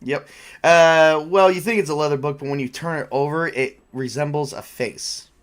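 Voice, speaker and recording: A man's voice: fairly high pitch, moderate pace. Casual male game master narrating. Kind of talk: narrating a tabletop role-playing game, casual.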